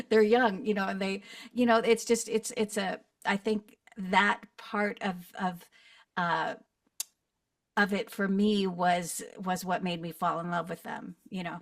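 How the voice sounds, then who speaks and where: A woman's voice: mid-range voice, fairly even intonation, unhurried, dry recording, studio quality, recorded from a distance. A middle-aged woman, a voice actor, talking casually on a video call, rambling with restarts and laughs. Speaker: narrator and voice actor guest. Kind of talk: casual online panel chat.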